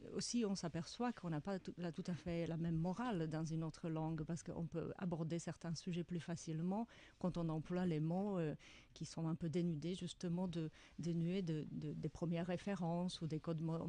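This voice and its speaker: A middle-aged woman with a Czech accent, an author answering radio interview questions in a reflective way, often pausing to search for words. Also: lowish voice, warm tone. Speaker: author guest.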